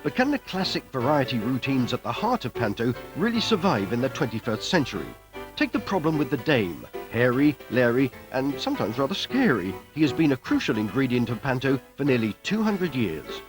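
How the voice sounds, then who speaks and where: Lively male documentary narrator over music. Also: mid-range voice, a little fast. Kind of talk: wry scripted narration.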